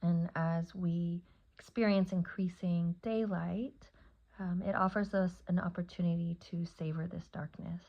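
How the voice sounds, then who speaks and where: A woman leading a guided meditation as a yoga nidra teacher, speaking in a soothing, unhurried way with long pauses between short phrases. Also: fairly low pitch, steady tone, dark tone, dull audio.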